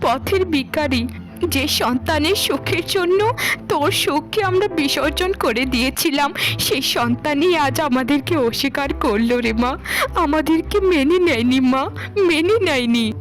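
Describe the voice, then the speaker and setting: A woman's voice: sits high, normal speed, soft background music, close to an everyday voice. A woman voicing a remorseful older mother reduced to begging, lamenting and pleading in a cartoon melodrama. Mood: sorrowful. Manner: lamenting, pleading, repeats phrases. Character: remorseful ruined older mother, now a beggar.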